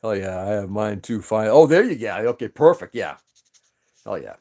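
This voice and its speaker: A man's voice: on the low side, lively intonation, moderate pace, creaky, dark tone. A man whose first language was Greek, chatting casually on a livestream, rambling and greeting viewers by name. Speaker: livestream host.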